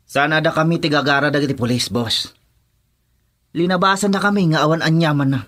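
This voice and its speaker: Male actor as gang henchman, defiant, bickering, radio drama, slightly high pitch. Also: fairly quick, a bit bright, lightly acted. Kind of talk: Ilocano radio drama acting.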